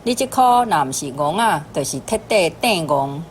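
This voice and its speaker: A woman's voice: sits low, lively intonation, moderate pace, a little hiss or hum. A woman with a Taiwanese accent giving example translations as a language teacher.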